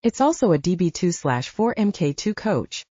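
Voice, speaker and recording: A woman's voice: lowish voice, sing-song, normal speed, dark tone, dry recording. A woman voicing an intense, earnest adult male werewolf with formal phrasing in an audiobook story. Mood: enthralled. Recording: dull lo-fi audiobook recording.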